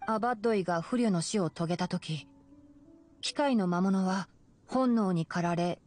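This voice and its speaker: A woman voicing a calm, knowledgeable young fox-eared male ranger in a Japanese anime game, explaining things in a measured way. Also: medium pitch, natural voice. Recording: game music behind. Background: game music.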